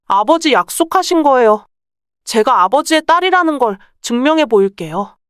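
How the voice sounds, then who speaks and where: A woman's voice: sits high, expressive, normal speed, fairly bright tone, clean tone, no echo, clean studio sound. A woman playing a clever, bold young merchant's daughter in a folktale, speaking politely and persuasively. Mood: persuasive, pleased. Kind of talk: acted Korean folktale dialogue.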